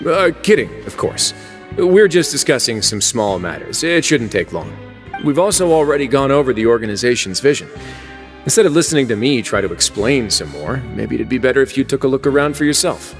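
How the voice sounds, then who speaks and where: An actor playing a laid-back, wry prison warden in a video game, who speaks calmly with dry, understated humor. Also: male voice, medium pitch, brisk, soft background music.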